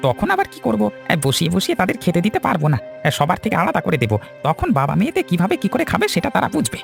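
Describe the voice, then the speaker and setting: A man voicing a bossy, scheming middle-aged village farmer in a cartoon, talking in colloquial family speech with drawn-out interjections. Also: high-pitched, huge pitch swings, creaky, faint music behind, heavily acted. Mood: calculating. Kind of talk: cartoon dialogue.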